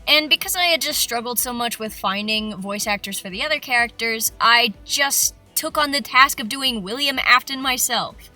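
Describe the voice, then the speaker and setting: A woman with a squeaky, youthful voice narrating her video in a casual, chatty, self-deprecating way. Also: moderate pace, hardly any pauses, sharp tone. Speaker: video creator. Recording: faint music behind the voice.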